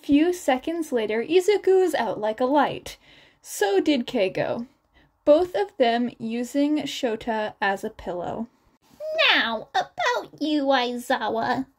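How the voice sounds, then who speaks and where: A woman's voice: sits high, lively intonation, measured pace, warm tone. A woman reading scripted fan fiction as the narrator of a podfic.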